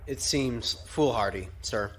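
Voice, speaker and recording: An actor playing a questioning, respectful junior lieutenant in a science fiction audio drama. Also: male voice, medium pitch, takes it slowly, lightly acted. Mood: questioning, concerned. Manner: respectful, formal. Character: questioning, deferential male junior naval lieutenant.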